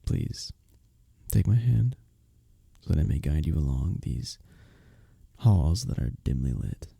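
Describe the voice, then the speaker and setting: Man playing a soft dark-voiced, courtly young innkeeper. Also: rumbling low, very animated, a little slow, gravelly, slightly rough, no room sound, heavily acted. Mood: gently attentive. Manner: formal, courtly phrasing with bashful hesitations. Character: courteous, smitten young male village innkeeper, tender and earnest. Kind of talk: intimate romantic ASMR fantasy roleplay.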